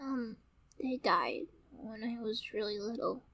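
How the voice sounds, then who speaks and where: A woman voicing a plucky, cheeky, headstrong little child in an animated cartoon. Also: a little high, unhurried, dry recording. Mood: wistful.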